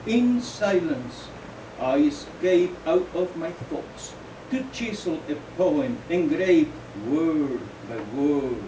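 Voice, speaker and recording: A man, a poet at a live reading, speaking in a deliberate, declamatory way with halting phrases. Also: a little high, expressive. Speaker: poet reading own poems. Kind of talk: live poetry reading with informal asides. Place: indoor live poetry event, recorded from off. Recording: roomy, distant, dull, noisy live recording.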